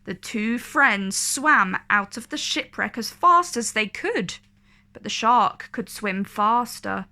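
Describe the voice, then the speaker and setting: A woman reading a storybook aloud for children in an expressive, deliberate, dramatic way. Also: on the high side. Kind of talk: expressive read-aloud narration for kids.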